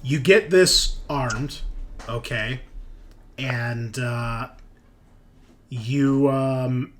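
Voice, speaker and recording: Male game master, mid-range voice, improvised and conversational, feeling his way through rulings, faint noise behind. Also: mid-range voice. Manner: casual, halting, conversational. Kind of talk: improvised tabletop game narration.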